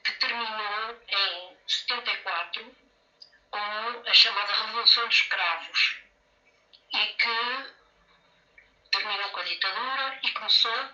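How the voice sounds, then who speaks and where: A woman with a European Portuguese accent, speaking as a panel guest and explaining slowly, repeating words as she thinks, over a video call. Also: medium pitch, pushed and bright. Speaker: panel guest, education researcher. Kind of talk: academic explanation. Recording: echoey, distant, muffled call audio.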